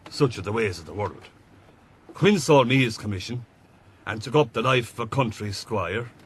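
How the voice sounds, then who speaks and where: An actor playing an older, avuncular Irish army captain, blunt and worldly, in a radio drama. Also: male voice, lowish voice, animated, normal speed, slightly rough. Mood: wry.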